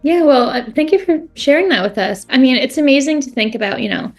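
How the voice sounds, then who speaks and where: A woman's voice: high-pitched, animated, fast talker, soft background music. A woman hosting a podcast interview, chatty and encouraging, with lots of "you know". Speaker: podcast host.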